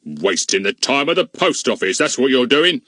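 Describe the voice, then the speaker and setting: A man's voice: medium pitch, very animated, moderate pace, sharp tone, husky, no room sound, studio quality, pitched above the performer's own voice, heavily acted. A man putting on a blustering pompous village policeman. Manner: blustering, scolding. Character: pompous, irritable middle-aged village policeman.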